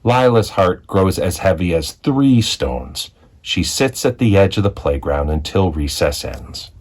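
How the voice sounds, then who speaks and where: Animated low-voiced man reading a storybook. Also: unhurried. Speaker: read-aloud storyteller, school executive. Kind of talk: expressive children's picture book narration.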